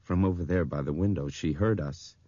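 A man voicing a troubled, skeptical male pilot who speaks in a hushed way in an audiobook. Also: deep voice, brisk, no echo, highs cut off. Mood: shaken. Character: wary, low-murmuring adult male airline pilot, skeptical.

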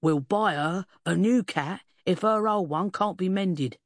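A woman's voice: lowish voice, unhurried, no echo, studio quality, lightly acted. A woman voicing a bluff working-class English police sergeant with a blunt military manner, in an audiobook. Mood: bellowing. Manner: blunt, military, prone to bellowing.

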